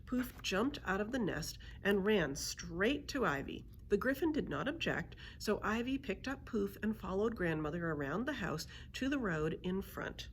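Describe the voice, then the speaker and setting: Animated woman reading a children's story. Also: medium pitch, normal speed, few pauses, slight background noise. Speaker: librarian storyteller. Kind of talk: children's story read-aloud narration.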